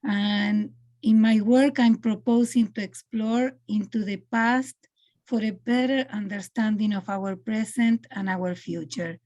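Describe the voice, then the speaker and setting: A woman from Argentina with an Argentinian Spanish accent, presenting her art on a video call, halting and searching for words. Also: medium pitch, fairly even intonation, darkish tone. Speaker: presenting artist. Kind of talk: online artist presentation.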